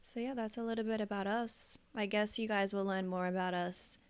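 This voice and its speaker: A woman's voice: mid-range voice, level tone, brisk, smooth, no echo. An Australian woman in her thirties, co-hosting a casual podcast in a chatty way with frequent fillers and easy laughter. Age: 30s. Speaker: podcast co-host. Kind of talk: casual chat.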